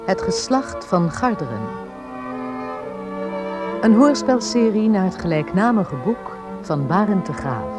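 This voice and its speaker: Lady announcing a radio serial, slow n lively intonation, loud cello music behind. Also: mid-range voice. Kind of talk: scripted announcement. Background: loud string music.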